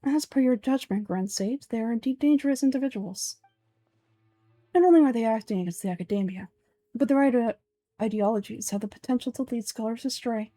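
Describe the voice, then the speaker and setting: Woman playing a young male scribe, cool, sardonic, measured, game dialogue, close dry recording. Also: on the high side, mellow, no room sound, natural voice. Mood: detached.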